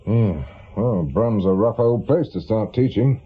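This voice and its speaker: A man's voice: lowish voice, animated, unhurried, husky. A man playing an awkward, wry middle-aged ex-policeman turned private eye in a radio play, muttering and hesitant. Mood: wry, defensive. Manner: hesitant, muttering, dryly sardonic. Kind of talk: naturalistic radio drama acting. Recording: dull, lo-fi radio drama recording.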